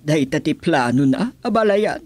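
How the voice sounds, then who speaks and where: A man's voice: fairly high pitch, animated, moderate pace, a little mellow. A man acting an anxious, pleading parent-in-law in an Ilocano radio drama.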